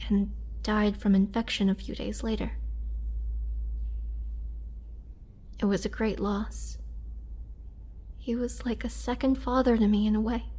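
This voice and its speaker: Woman acting as a wistful, halting young-looking vampire. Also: fairly high pitch, fairly even intonation, dark tone, slightly rough. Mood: mournful. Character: youthful-looking centuries-old female vampire, imprisoned, sorrowful, gentle. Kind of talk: dramatic first-person roleplay storytelling.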